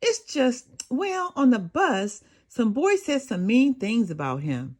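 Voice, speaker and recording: A woman's voice: fairly high pitch, big pitch swings, slow pace, a little mellow, exaggerated voice. A woman voicing an earnest, tender-hearted young schoolboy in a children's storybook, speaking in simple phrases. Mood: troubled, hesitant. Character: earnest, tender-hearted second-grade schoolboy telling his feelings.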